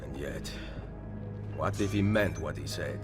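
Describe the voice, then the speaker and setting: An actor playing a grave, resolute Italian master assassin in a video game, measured and commanding, with an Italian accent. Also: male voice, a bass voice, loud music behind the voice. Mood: brooding. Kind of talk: video game cutscene acting.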